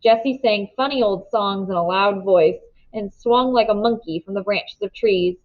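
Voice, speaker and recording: A woman reading a children's novel aloud in expressive storybook narration, on a slightly dull recording. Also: mid-range voice, moderate pace.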